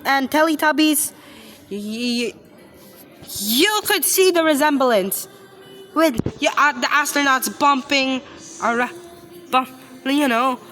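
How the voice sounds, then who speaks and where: A woman giving casual reaction commentary, speaking haltingly and often repeating and stumbling over her phrases. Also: sits high, fairly bright tone. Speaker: reaction video host.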